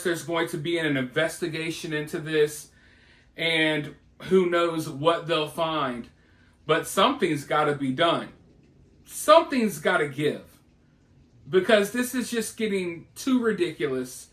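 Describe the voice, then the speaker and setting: Male news vlogger, high register, casual opinionated commentary, recorded with noticeable room echo. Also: a bit bright. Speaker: host of a news commentary vlog. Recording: roomy, echoey voice recording.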